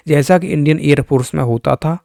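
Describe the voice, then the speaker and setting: A man's voice: on the high side, fairly quick, vocal fry, mellow, no echo, clean studio sound. A man giving an informal exam explainer in a blunt, emphatic way, repeating phrases to drive points home. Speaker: exam coaching YouTuber.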